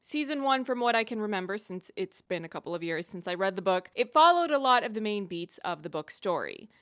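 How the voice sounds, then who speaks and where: A woman giving conversational video commentary as a reviewer, putting deliberate emphasis on key words. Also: medium pitch, sing-song, a bit bright, no echo, studio quality. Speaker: video essayist and reviewer on her own channel.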